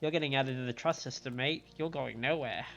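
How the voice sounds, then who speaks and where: A man's voice: medium pitch, fast, gravelly, fairly bright tone. A man doing casual live commentary as he plays a video game, sometimes reading dialogue aloud. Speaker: game streamer. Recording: dull, band-limited stream audio. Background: game music.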